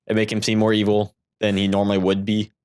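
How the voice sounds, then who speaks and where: A man co-hosting a podcast, discussing films casually in a halting, hedging way. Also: on the low side, completely flat delivery, a little mellow, clean tone. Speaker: podcast co-host.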